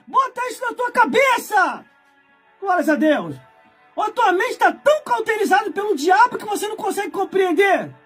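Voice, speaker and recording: A man in his forties, a preacher from Brazil, delivering a fiery sermon with shouted, scolding emphasis and heavy repetition. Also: extremely high pitch. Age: 40s.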